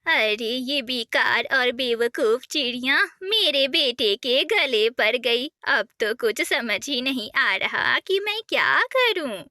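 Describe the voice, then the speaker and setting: A woman's voice: high-pitched, animated, slow, few pauses, bright, forceful tone, dry recording, clean studio sound, exaggerated voice. A woman doing a bossy cartoon mother-in-law bird. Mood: grumbling. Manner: scolding, bossy. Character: harsh scolding older female bird, mother-in-law.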